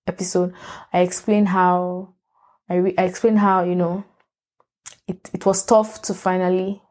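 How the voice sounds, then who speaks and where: A woman's voice: on the low side, mellow. A woman, hosting a solo podcast in a rambling, candid way that often corrects itself. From Nigeria. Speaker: podcast host. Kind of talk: casual solo podcast monologue.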